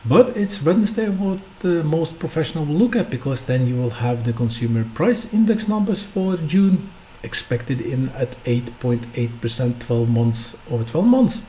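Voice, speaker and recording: A man's voice: on the high side, lively intonation, normal speed, warm tone, a little hiss or hum, rough recording. A Norwegian man, a non-native English speaker, hosting a solo stock market podcast in an unscripted way with many hesitations and rhetorical questions. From Norway. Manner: unscripted, hesitant with frequent fillers; asks the listener rhetorical questions.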